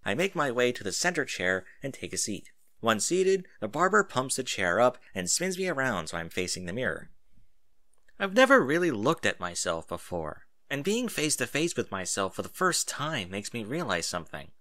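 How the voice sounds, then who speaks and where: A man narrating a first-person story in an expressive reading style. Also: medium pitch, normal speed.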